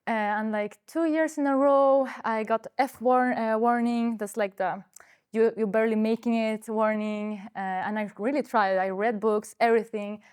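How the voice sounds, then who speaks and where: A woman's voice: fairly high pitch, steady tone, normal speed, a little mellow, smooth. A teenage girl student from Sweden, talking candidly and chattily about her studies. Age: teens.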